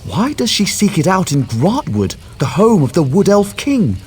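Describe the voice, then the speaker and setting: A man's voice: sits high, huge pitch swings, moderate pace, a little hiss or hum. An actor voicing a cautious, scholarly male mage in a video game, speaking formally and precisely. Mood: thoughtful, explanatory. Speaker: quest-giving character.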